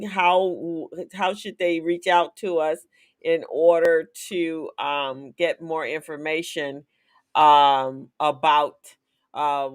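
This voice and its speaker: A man hosting a live-streamed business talk show, speaking in an unhurried, halting way with many "um"s and short nods of agreement. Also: on the high side. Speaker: show host.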